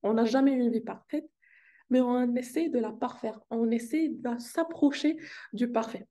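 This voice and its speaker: A woman's voice: a little high, normal speed, dark tone. A woman, an Arabic speaker, talking in an upbeat, earnest vlog style from a hotel meeting room and repeating phrases for emphasis. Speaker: vlogger. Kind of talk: casual motivational vlog talk.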